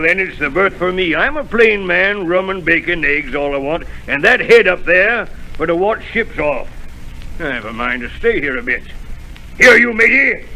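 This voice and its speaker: An actor playing a gruff, secretive old sea captain in a 1930s radio drama, barking orders and dropping to a menacing mutter. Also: male voice, pushed and bright, exaggerated voice, low-quality recording. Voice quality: rough, barking seaman's growl. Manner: bellows orders, drops to a low menacing mutter. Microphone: radio studio microphone.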